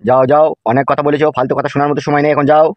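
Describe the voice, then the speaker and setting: A man's voice: fairly high pitch, flat delivery, rapid-fire, dry recording, highs cut off, lightly acted. A man voicing a corrupt, dismissive junior policeman in a comedy cartoon, with a curt manner. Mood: scornful. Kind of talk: Bengali comedy cartoon dubbing.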